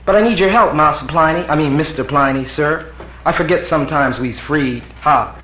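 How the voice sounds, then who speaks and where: A man's voice: a little high, moderate pace, pitched above the performer's own voice, lightly acted. A man voicing a defiant, sly freed man who mocks in a drawling rural dialect, in a roomy, dull live recording. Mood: mocking.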